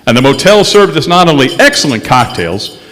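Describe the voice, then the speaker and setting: A man's voice: medium pitch, big pitch swings, fairly quick, a bit bright. A man playing a skeptical, wry investigator in old-time radio style, both narrating his case and pressing others for answers. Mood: wry narration. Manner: wry, insistent. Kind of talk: 1940s-50s radio detective acting on stage. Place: live stage in a museum courthouse. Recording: echoey hall, music behind.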